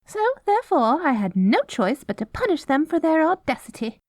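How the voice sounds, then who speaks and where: A woman's voice: high voice, sing-song, moderate pace, a little mellow, no room sound, clean studio sound. A woman playing a vain, haughty good queen in a scripted audio roleplay, prim and affected, with false sweetness and grand self-righteousness. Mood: haughty disdain.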